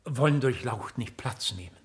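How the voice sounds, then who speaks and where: A man's voice: medium pitch, expressive, moderate pace, slight background noise, lightly acted. An actor playing a fussy, deferential banker in a German radio drama, formal and proper in his speech. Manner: formal, obsequious to his betters.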